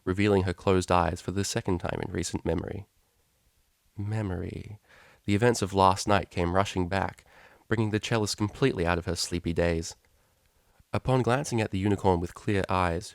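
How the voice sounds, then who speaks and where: A man narrates a story in a lowish voice. He reads in a measured, expressive storytelling style. The sound is dry, with very little room in it. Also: gravelly, dry recording. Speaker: fan fiction reader, narrator.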